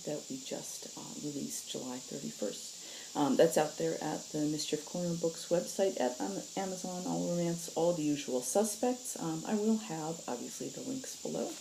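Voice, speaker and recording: A woman's voice: low voice, moderate pace, creaky, noisy background. A woman reading and hosting a story in the style of audiobook narration. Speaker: story reader and host.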